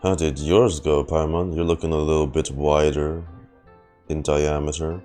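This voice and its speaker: A man's voice: very deep voice, little pitch movement, moderate pace, dark tone. A man giving casual reaction commentary as he streams a video game, close on the microphone. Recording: game music behind the voice.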